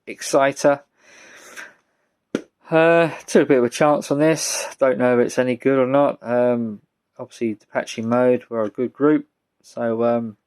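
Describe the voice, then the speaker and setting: A British man giving a casual, rambling show-and-tell as a vlogger, speaking in a halting, low-key way. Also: gravelly, a little mellow. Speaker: vlogger showing a music collection.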